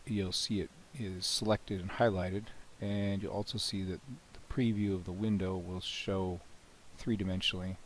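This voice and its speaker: A man with a cold, hoarse and often clearing his throat, casually talking through a software demo with many "you know"s and restarts. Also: deep voice, moderate pace, hardly any pauses, a little hiss or hum. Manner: relaxed, rambling, frequent "you know" and restarts. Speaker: software developer demonstrating his plugin. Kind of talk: screen-recorded software walkthrough.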